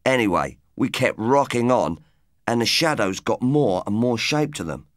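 A man's voice: medium pitch, lively intonation, normal speed, dry recording, clean studio sound, natural voice. A man voicing an earnest, wistful, washed-up rock musician in his late twenties, as audiobook dialogue. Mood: earnest, haunted.

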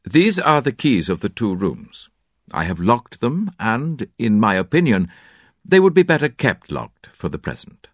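A man voicing a grave male specialist doctor with a slow, deliberate manner. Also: mid-range voice, big pitch swings, dry recording, studio quality.

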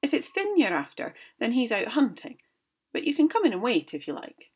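A woman doing a giant's wife from a storybook: a clever, bossy woman with a high, piercing voice, loud and swooping as she gives orders. She sounds stern and brisk, with a breathy edge. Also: huge pitch swings, heavily acted.

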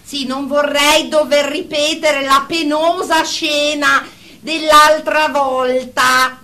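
An actress plays a female group therapist in a radio drama, firm and formal. Her voice is very high and bright. She speaks slowly and deliberately, admonishing her patients with measured authority. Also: fairly even intonation, exaggerated voice. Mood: stern, measured. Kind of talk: Italian radio drama acting.

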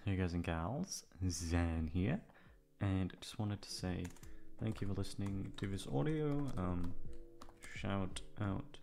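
A man's voice: low voice, expressive, takes it slowly, vocal fry, dark tone, slight background noise. A man chatting casually and off the cuff, rambling and self-deprecating with some swearing. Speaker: audio roleplay voice actor. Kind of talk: casual unscripted outro chat.

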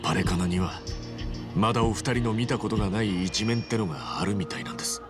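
A man playing an earnest, blunt tough guy in his forties in a game cutscene, speaking roughly but respectfully, with deliberate emphasis. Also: on the low side, animated, music in the background, natural voice. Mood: earnest, grave. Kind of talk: dramatic game cutscene acting.

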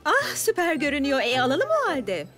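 A woman's voice: high voice, wildly swooping pitch, a little fast, heavily acted. A woman voicing a caring but firm mother in a children's cartoon, coaxing and motherly. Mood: caring, encouraging. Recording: loud music behind the voice. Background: music.

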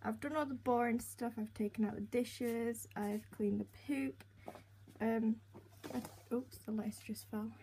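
A young woman with a slightly croaky voice, chatting casually as she narrates each step of a pet-care routine while filming on a handheld phone at home. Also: fairly high pitch, steady tone, unhurried, warm tone. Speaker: pet keeper vlogger. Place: indoors at home by snail tanks. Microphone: handheld phone camera. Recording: noisy home phone recording.

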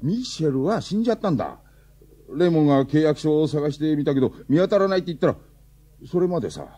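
Flustered defensive father, fairly high male voice, mellow, radio-drama acting, very animated. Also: fast talker, breathy edge. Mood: resigned, bitter. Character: excitable, defensive, hapless middle-aged father.

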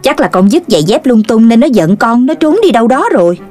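A woman's voice: on the high side, big pitch swings, fairly quick, darkish tone, faint music behind. A woman voicing a strict, scolding mother dog in a children's cartoon dub. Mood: chiding.

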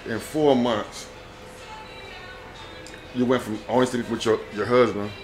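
A man doing a casual street interview, recapping and questioning in a halting, repetitive way. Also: mid-range voice, fairly even intonation, darkish tone, soft background music.

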